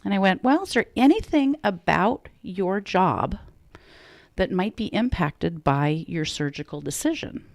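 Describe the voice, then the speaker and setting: A woman's voice: medium pitch, expressive, measured pace, darkish tone, dry recording, clean studio sound. A woman, a program director, talking earnestly as a guest on a radio interview and telling stories with quoted dialogue.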